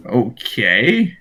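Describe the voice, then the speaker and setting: A man's voice: medium pitch, bright, forceful tone, slightly rough. A man questioning someone on a recorded call in an insistent, confrontational, off-the-cuff way. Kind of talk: spontaneous interrogation on a call.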